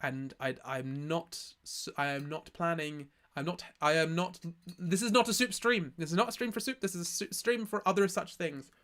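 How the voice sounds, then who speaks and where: A young man from Scotland with a Scottish accent softened by years in England, casually chatting on a live stream and reading his chat, rambling and restarting his sentences often. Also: a little high, normal speed. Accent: Scottish, softened by living in England. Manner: rambling, self-correcting, lots of restarts.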